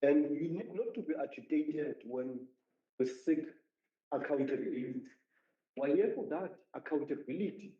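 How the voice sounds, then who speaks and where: A man's voice: a little high, moderate pace. A man speaking as a municipal councillor in a council debate, emphatic and repeating his points. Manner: emphatic, repetitive speechmaking. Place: council chamber. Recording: roomy, dull council chamber audio.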